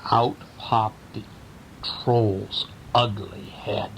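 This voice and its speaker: A man's voice: fairly even intonation, drawn-out words, creaky, rough recording. A man, a father, telling a bedtime story to a child in a playful, theatrical, teasing way, at home. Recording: home recording with rumble and background noise.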